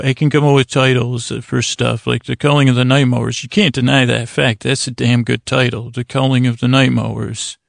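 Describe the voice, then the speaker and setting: A man with a creaky voice hosting a bedtime podcast, talking in a soothing, meandering monologue with halting pauses and digressions. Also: medium pitch, no echo, clean studio sound. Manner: soothing, lulling delivery. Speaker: podcast host.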